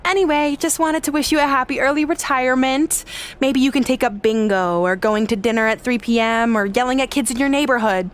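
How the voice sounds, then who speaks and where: An actress in a video game cutscene playing a spiteful, gloating wrestling villainess with a sneering, sarcastic, haughty manner. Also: female voice, sits high, fairly quick, natural voice. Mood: gloating, mocking.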